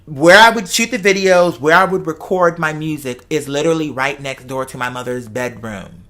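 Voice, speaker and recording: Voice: medium pitch, normal speed, sharp tone. A host talking casually and from the heart, emphatic and given to repeating phrases for effect. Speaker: host of the show; artist who makes videos and music.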